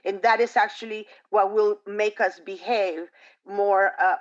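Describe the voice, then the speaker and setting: A woman's voice: mid-range voice, unhurried. Earnest woman on a dull video call. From outside the United States. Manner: expressive and earnest, many fillers. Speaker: panelist. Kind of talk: panel discussion on a video call.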